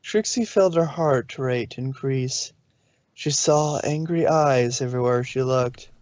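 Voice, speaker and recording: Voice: mid-range voice, takes it slowly, a little mellow, no room sound. A narrator reading a story aloud in a storybook style, sometimes stumbling over a word and correcting it. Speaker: narrator, fan fiction reader.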